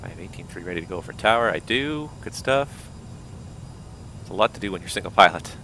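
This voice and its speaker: A deep-voiced man talks casually through a flight, reading out checklists and thinking aloud as he goes. His voice is wearing thin, and a steady engine rumble runs under him. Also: brisk. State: losing his voice. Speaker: flight simulator pilot. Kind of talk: casual cockpit commentary.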